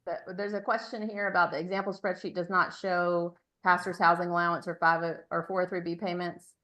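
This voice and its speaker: A woman with a rather low voice moderates an informal meeting. She relays participants' questions and checks in with them in a conversational way. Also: little pitch movement, fairly quick, fairly bright tone. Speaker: moderator reading out audience questions.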